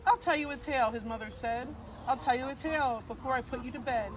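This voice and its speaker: High-voiced woman reading in sing-song rhyme. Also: fast talker. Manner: sing-song, gentle rhyming cadence. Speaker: storybook reader. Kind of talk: reading a rhyming picture book aloud. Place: outdoors on a farm. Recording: noisy outdoor recording with crickets.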